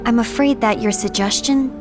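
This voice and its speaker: An actress playing a calm, composed young female apprentice in a fantasy video game cutscene, speaking in a questioning, reasoned way. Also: fairly high pitch, normal speed, music in the background, close to an everyday voice.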